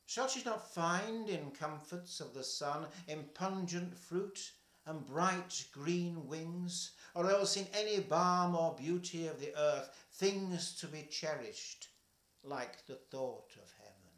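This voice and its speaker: An older man reading a poem aloud at home, slow and measured, his voice falling soft at the ends of lines. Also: fairly high pitch, reverberant. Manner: slow, measured, trailing softly at line ends. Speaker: poetry reader. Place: home kitchen, indoors.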